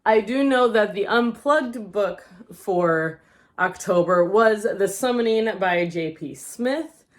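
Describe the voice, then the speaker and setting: A woman's voice: mid-range voice, animated, takes it slowly. A woman vlogging a casual book haul, chatty and rambling, losing her voice and often unsure of the details.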